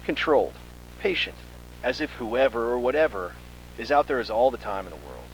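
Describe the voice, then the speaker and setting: A man's voice: mid-range voice, animated, fairly quick, slight background noise, low-quality recording. A man reading a scripted second-person horror story in a dramatic, suspenseful manner.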